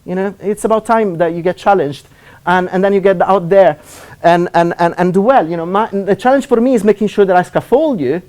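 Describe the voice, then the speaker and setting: A man from Italy, speaking English with an Italian accent, giving a seminar talk as a university lecturer; he talks fast with many fillers and restarts. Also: sits high, a little mellow.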